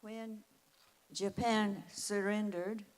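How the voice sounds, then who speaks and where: Older woman from the States, eighties, very slow reflective delivery, roomy hall reverb. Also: mid-range voice, level tone. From United States. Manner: slow and reflective, with soft laughs. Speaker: Japanese internment survivor speaker. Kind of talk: personal storytelling at a school event. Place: school event hall. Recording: echoey school hall.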